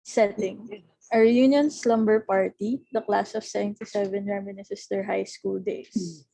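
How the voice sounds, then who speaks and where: A woman's voice: medium pitch, fairly even intonation, measured pace, gravelly, mellow, no echo, low-quality recording. Filipino-accented woman narrator, very dry sound. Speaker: narrator reading stage directions at a table read. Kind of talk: scripted narration with informal instructions. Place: online video call.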